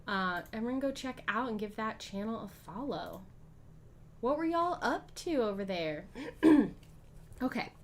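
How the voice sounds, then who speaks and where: High-voiced woman streamer thinking aloud. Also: animated, unhurried, slight background noise. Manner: chatty, thinks aloud, frequent ums and throat clearing. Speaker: streamer playing a board game. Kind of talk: casual live-stream play-through.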